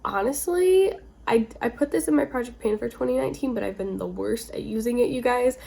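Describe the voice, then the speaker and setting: A woman's voice: on the high side, a little fast, vocal fry, warm tone, breathy edge. A woman getting over a cold, chatting informally with rambling asides as the host of a casual beauty vlog. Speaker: beauty video creator, host.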